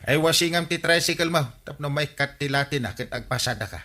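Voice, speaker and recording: A man's voice: on the high side, a little fast, sharp tone. A man with an Ilocano accent playing an exasperated village captain who nags idle neighbors, in radio comedy drama style. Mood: bossy, scolding.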